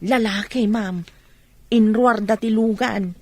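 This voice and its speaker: Higher-pitched, deferential housemaid played by a woman. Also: fairly even intonation, takes it slowly, a little mellow, dry recording. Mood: curious, deferential. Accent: Ilocano. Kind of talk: Ilocano radio drama acting.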